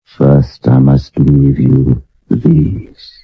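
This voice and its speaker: An actor playing a cold-blooded assassin in a 1970s radio drama, speaking with deliberate, ominous menace. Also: male voice, very deep voice, big pitch swings, vocal fry, breathy edge, voice pushed down, exaggerated voice. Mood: calm menace. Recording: muffled old medium-wave radio recording.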